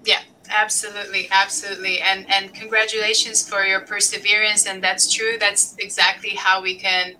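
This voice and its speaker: A woman's voice: medium pitch, little pitch movement, normal speed, few pauses, sharp tone, slight background noise, rough recording. A woman from Lebanon hosting a live podcast interview, chatty and loose, with many ums and restarts. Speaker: podcast host.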